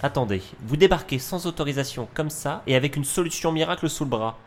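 Man acting indignant sci-fi government adviser, fairly high male voice, formal, protesting, faint noise. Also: lively intonation, fast talker, lightly acted. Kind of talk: French sci-fi audio drama acting.

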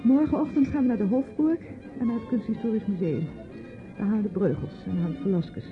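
A reticent young niece voiced by an actress answers tersely in a radio drama, troubled and evasive. Her voice sits at a middle pitch, with hesitant phrasing. The sound is dull and lo-fi, with music clearly audible behind her. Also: fairly quick, close to an everyday voice. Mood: reluctant, evasive. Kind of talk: radio play acting.

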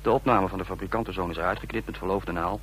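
Slangy breezy informant, lowish voice, old radio play, bit of hiss. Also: male voice, fairly even intonation, fast talker, a bit bright. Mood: breezy, smug. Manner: chatty, colloquial, cocky. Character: breezy, slangy male photographer working as informant. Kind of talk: radio drama acting, phone report. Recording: faintly noisy old radio recording.

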